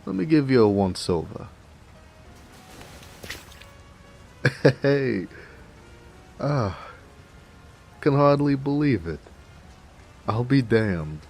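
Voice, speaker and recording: An actor playing a jovial, affectionate big 1920s mobster with an American accent, in a folksy, teasing noir audio drama. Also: male voice, mid-range voice, vocal fry, a little mellow, slight background noise, full character voice. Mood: delighted, exclaiming. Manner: folksy, teasing, chatty, chuckling. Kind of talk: noir romance audio roleplay, 1920s gangster slang. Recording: faint rain ambience behind voice. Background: rain.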